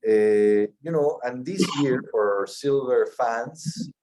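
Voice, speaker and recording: A middle-aged man from Peru with a Peruvian Spanish accent, answering interview questions in a measured way, often restarting and repeating words. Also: medium pitch, mellow, low-quality recording. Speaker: mining company CEO.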